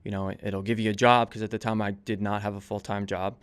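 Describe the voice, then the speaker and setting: A man in his twenties answering interview questions casually, telling anecdotes in informal, slightly halting phrasing. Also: on the low side, level tone, clear, resonant tone. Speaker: podcast guest, volunteer firefighter and police dispatcher.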